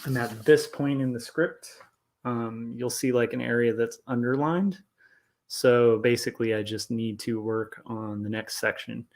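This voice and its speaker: A man in his thirties, a comic artist chatting casually on a live stream, talking quietly and loosely so he won't wake his household. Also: mid-range voice, steady tone, unhurried. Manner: soft-spoken, keeping his voice down late at night; loose and rambling, full of likes and ums. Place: home studio at night.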